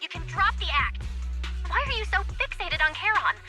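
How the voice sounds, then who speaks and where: A woman voicing an indignant, protective young female ally in a video game, forceful and accusing. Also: very high-pitched, sing-song, normal speed, soft background music, heavily acted. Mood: indignant challenge.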